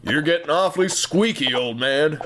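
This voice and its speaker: A man's voice: a little high, normal speed, noisy background, pitched above the performer's own voice, close to an everyday voice. A man voicing a tough, stubborn workhorse in an audio drama, speaking in blunt, folksy slang, unsentimental, with music behind. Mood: firm, dismissive.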